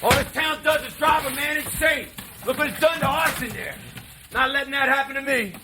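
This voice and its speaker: An actor playing a rough, volatile drifter son in a stage play, with a gravelly American voice and a defiant, folksy bluster. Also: male voice, extremely high pitch, a little fast, noisy background. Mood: angry, defiant.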